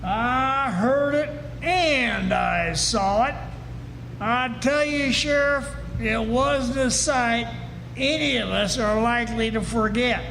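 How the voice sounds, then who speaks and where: An actor playing an earnest, plain-spoken frontier rancher with folksy, emphatic delivery in a live western radio drama. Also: male voice, very high voice, slow pace, vocal fry, sharp tone, exaggerated voice. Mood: agitated, insistent. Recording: noisy echoey hall, live stage performance.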